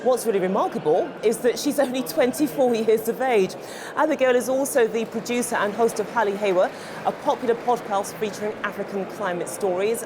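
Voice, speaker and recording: A middle-aged woman presenting a live interview in an articulate, polished broadcast manner. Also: on the high side. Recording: clear outdoor-like traffic noise behind.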